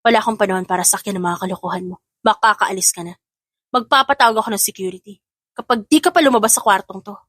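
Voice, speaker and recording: A woman's voice: mid-range voice, quick pace, a bit bright, no echo, lightly acted. A woman playing a defiant, protective new mother in an audio drama, speaking firmly and with emotion. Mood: defiant, angry. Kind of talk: Tagalog audio drama acting.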